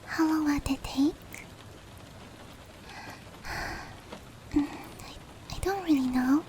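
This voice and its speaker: A woman voicing a female sleep paralysis demon in a breathy, whispered roleplay ASMR style, with hushed, close, drawn-out phrasing. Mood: apologetic, tender. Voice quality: breathy, whispery, high-pitched. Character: female sleep paralysis demon, teasing menace turning tender. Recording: steady rain noise behind voice.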